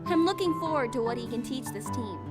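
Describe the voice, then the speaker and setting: Casting: blunt, self-assured young visual novel heroine, high voice, loud music bed. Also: female voice, animated, brisk. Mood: matter-of-fact. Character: confident, blunt young anime woman.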